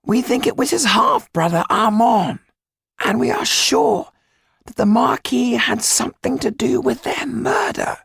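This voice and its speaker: Very raspy, creaky high-voiced schemer. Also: male voice, very animated, measured pace, fairly bright tone, no room sound, studio quality, heavily acted. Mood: assured.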